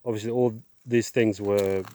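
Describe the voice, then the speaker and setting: A middle-aged man giving a personal testimony outdoors, speaking in a halting, measured way with pauses. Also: lowish voice, steady tone, dark tone, no room sound. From Zimbabwe, born in Zambia. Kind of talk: personal testimony, casual monologue. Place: outdoors, on a walk.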